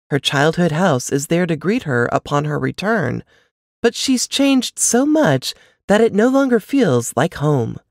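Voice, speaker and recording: A woman giving a polished, expressive scripted narration of a romance novel summary. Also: lowish voice, dry recording, studio quality. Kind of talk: scripted narration of a book blurb.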